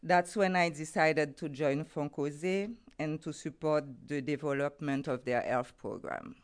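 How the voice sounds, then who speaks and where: A woman's voice: sits low, steady tone, measured pace, clean tone. A middle-aged woman from Haiti with a Haitian accent, speaking English as a second language and giving a formal, carefully phrased talk about her development work. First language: Haitian Creole or French. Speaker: guest speaker, NGO program head. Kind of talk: prepared conference talk.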